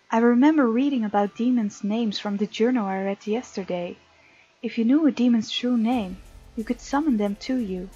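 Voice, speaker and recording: A woman hosting a let's play, reading game text aloud with playful, expressive asides. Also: fairly high pitch, slightly rough, no echo, muffled recording. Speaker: gaming video host.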